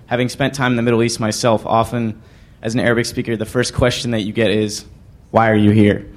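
A man's voice: medium pitch, flat delivery, a little fast. A young man at an audience microphone asking a panel a polite, tentative question with many ums.

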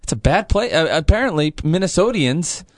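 Teasing radio host, dry studio sound. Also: male voice, on the high side, sing-song, normal speed, dry recording. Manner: teasing, playful. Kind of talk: casual banter. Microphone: studio microphone at a mixing board.

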